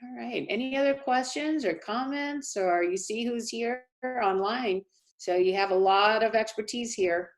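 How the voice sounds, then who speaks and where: A woman speaking as a college dean, answering questions in a measured, reassuring, explanatory way on a video call. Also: a little high, rough recording. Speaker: college dean hosting a town hall. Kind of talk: informal question-and-answer briefing. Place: remote online meeting.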